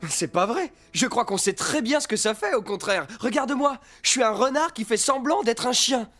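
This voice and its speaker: An actor voicing an upbeat, scheming fox disguised as a dog in an animated cartoon. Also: medium pitch, normal speed, dry recording, faint music behind, natural voice. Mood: earnest. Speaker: cartoon lead and narrator.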